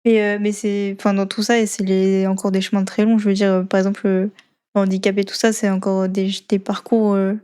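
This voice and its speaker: A woman's voice: mid-range voice, level tone, brisk, warm tone, clear, resonant tone. A woman interviewing a guest in a casual, conversational chat.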